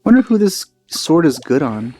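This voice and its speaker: A man's voice: on the high side, very animated, normal speed, mellow. A man giving casual let's play commentary, informal and musing to himself as he goes. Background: faint game music.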